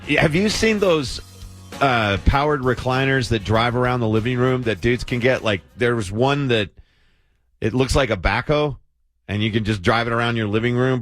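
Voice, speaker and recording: A man's voice: mid-range voice, animated, brisk, fairly bright tone, faint music behind. A man hosting radio talk with casual, rambling, amused chat.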